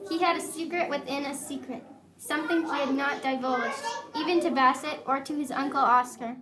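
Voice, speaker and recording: A high-pitched woman tells a story as the narrator of a puppet show. She is recorded live, with a crowd audible around her. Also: steady tone, a little fast.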